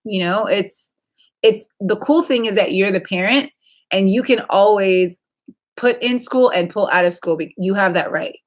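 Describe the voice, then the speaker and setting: A woman's voice: mid-range voice, normal speed. This is a woman in her thirties with a mid-range voice. She hosts a livestream in a loose, conversational style. Her talk is chatty and informal, wandering from one thought into the next as she answers viewers. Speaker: livestream host. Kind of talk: casual chat, answering viewer questions.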